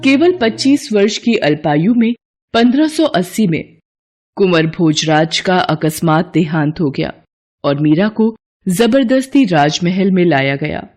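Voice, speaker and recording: A woman's voice: lowish voice, expressive, a little slow, a little mellow. A woman giving scripted narration of a devotional moral story.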